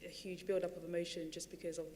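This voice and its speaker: A woman speaking on a panel in a big theatre, her talk chatty and loose, full of "like" and "um." Her voice sits a little low, and a long reverb from the hall trails each phrase. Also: flat delivery, fast, mellow, a little hiss or hum. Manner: chatty, many fillers and restarts. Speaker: panel speaker, running coach. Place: large theatre stage.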